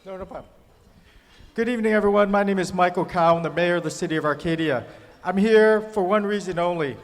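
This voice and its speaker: A man's voice: sits high, a little fast. A man, a mayor and military veteran, giving brief, direct, sincere remarks. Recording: reverberant hall.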